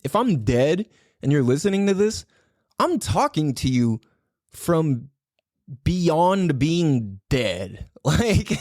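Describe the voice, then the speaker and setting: A man's voice: a little high, a little mellow, no room sound, studio quality. A man hosting his own podcast in a casual solo talk, swearing freely, laughing often and repeating words for emphasis. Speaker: podcast host.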